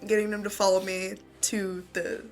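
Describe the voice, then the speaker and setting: A woman's voice: medium pitch, little pitch movement, normal speed, soft background music. A woman chatting casually and playfully as a player in a tabletop roleplaying game.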